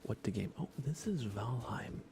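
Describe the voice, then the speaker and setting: A man streaming ASMR in a soft, breathy whisper, chatting casually and gently while he plays a game. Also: medium pitch, sing-song, normal speed. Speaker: livestream host. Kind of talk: ASMR livestream, casual chat while gaming.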